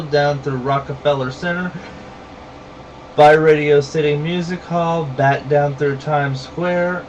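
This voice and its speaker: Slow-talking Southern man ranting bluntly. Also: on the high side, far from the mic, lo-fi sound, slight background noise. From rural southern United States. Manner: blunt, salty, heated. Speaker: vlogger. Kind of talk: ranting monologue to camera.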